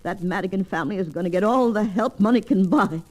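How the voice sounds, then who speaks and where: An actress with an American accent playing a strong-willed, big-hearted saloon owner in an old-time radio western. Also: female voice, mid-range voice, quick pace, faint music behind, close to an everyday voice. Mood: earnest, commanding. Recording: noisy vintage radio recording.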